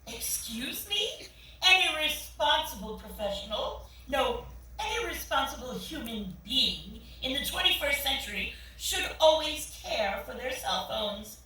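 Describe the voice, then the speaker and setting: An actress plays a haughty, fussy woman in a stage comedy. Her bright voice swoops widely as she scolds with condescending indignation. She is recorded from afar in an echoey theatre. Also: high voice, sing-song, measured pace, heavily acted. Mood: indignant, scolding. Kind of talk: stage acting in a comedy play reading. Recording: echoey theatre, far mic.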